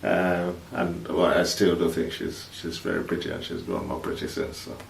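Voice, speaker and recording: A man, co-hosting a vlog in halting, casual chat. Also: low voice, big pitch swings, creaky. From East Africa, born in Kenya, Ugandan. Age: 30s. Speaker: vlog host. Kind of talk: casual couple chat to camera. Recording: roomy, distant mic, faint noise.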